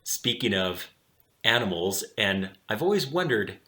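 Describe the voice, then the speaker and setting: Guy reading a kids book out loud, bright voice, really animated, bit slow, echoey room. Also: on the low side. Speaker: children's read-aloud host. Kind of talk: expressive storybook narration.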